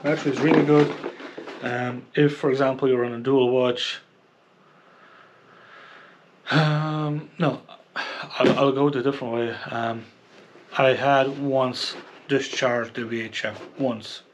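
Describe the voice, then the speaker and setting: Low-key man rambling over background noise. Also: fairly even intonation, measured pace. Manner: hesitant, rambling, repeats himself. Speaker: product reviewer and kayak angler. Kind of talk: casual unscripted product review. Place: indoors at home near a computer.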